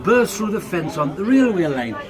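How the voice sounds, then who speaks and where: A man's voice: high voice, big pitch swings, normal speed, crowd noise. A middle-aged man telling family anecdotes in casual, chatty speech. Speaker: father telling family stories.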